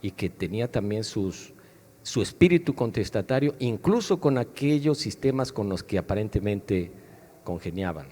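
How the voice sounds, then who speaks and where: A man speaking as a panelist in a discussion at a book fair, reflective and measured as he builds his questions. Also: fairly low pitch, lively intonation, warm tone. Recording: echoey hall sound.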